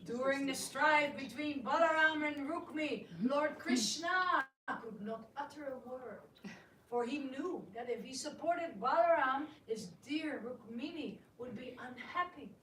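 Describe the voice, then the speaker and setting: A woman narrating a sacred tale in a dramatic reading, measured and solemn. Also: high voice, fairly bright tone, a little hiss or hum. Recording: roomy, distant live recording.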